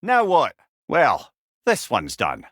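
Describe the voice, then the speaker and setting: A man's voice: mid-range voice, wildly swooping pitch, slow, a bit bright, husky, no echo, clean studio sound, heavily acted. A man with a Scottish accent playing a witty, learned older companion, a talking head in a video game, wry and eloquent with old-fashioned wording. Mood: dryly amused. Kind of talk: video game character dialogue.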